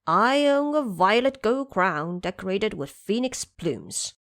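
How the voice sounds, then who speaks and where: A woman's voice: medium pitch, sing-song, takes it slowly, a bit bright, exaggerated voice. A woman voicing a hot-tempered, proud male dragon king in an audio drama. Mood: indignant. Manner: angry, proud.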